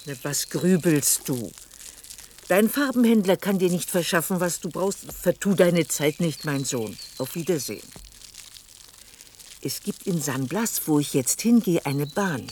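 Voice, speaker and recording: A woman's voice: sits low, expressive, measured pace, darkish tone. Shrewd old woman, faint background noise. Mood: coaxing, urgent. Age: older. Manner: coaxing, commanding. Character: shrewd old woman urging a younger man on. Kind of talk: radio play acting.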